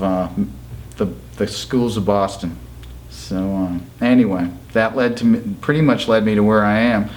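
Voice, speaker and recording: A middle-aged man from Massachusetts talks through his musical past in an interview. He rambles, restarts and fills pauses with "uh" and "um". His voice sits in an ordinary middle pitch. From Massachusetts, USA. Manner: rambling, halting with many fillers. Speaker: session bassist. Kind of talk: interview storytelling.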